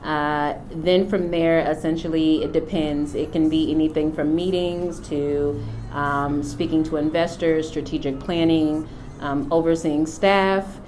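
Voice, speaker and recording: A middle-aged woman from the United States, a company founder, speaking about her work and faith in an unhurried, reflective way with frequent pauses. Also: low voice, fairly even intonation, a little mellow, a little hiss or hum. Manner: unhurried, thoughtful, many filler pauses. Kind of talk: reflective personal testimony.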